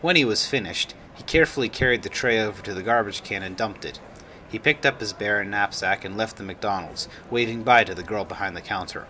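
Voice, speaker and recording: A man narrates a written story in a fairly low voice. His tone is bright and forceful. There is noticeable noise on the recording. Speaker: author reading own story.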